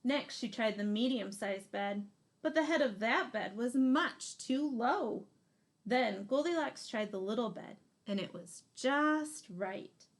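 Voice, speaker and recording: A woman reads a children's storybook aloud like a teacher. Her voice sits a little high and moves around a lot. She leans deliberately on the key words. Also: lively intonation. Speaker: teacher reading a picture book aloud. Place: small room indoors.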